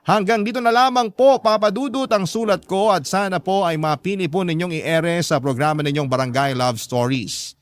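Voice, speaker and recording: A man's voice: high-pitched, steady tone, normal speed, few pauses, no echo, clean studio sound. A man with a Filipino accent reading out a listener's heartfelt letter as scripted radio drama narration.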